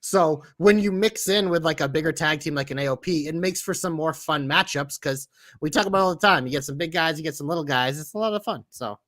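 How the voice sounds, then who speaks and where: A man with a Boston accent co-hosts a casual podcast, talking loud and loose and swearing freely. His voice sits high and sounds bright and forceful. He breaks into shouted bits and impressions without warning. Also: fast talker. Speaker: podcast co-host. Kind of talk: casual wrestling podcast chat.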